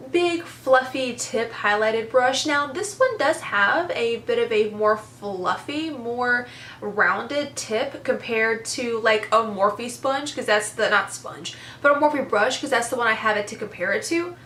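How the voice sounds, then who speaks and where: Stuffy-nosed young woman gushing about makeup, voice a bit high, kinda echoey room. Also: a little slow, a bit bright, a little hiss or hum. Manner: chatty, enthusiastic, lots of "super" and "very". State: congested. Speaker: beauty vlogger. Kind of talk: casual product review chat.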